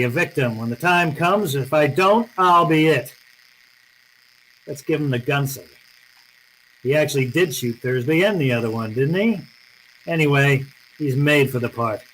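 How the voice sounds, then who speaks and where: An actor playing a tough, cool private detective in an old-time radio drama, speaking in blunt, clipped slang. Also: male voice, a little high, normal speed, fairly bright tone, a little hiss or hum, close to an everyday voice, low-quality recording. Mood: urgent, insistent.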